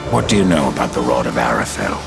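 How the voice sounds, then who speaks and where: A man voicing an ancient, grim supernatural horseman in a video game, gravelly and rumbling, with a dry, terse, sardonic menace. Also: very animated, fast, full character voice. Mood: cold, probing. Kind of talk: dramatic video game acting. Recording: loud music and storm rumble behind.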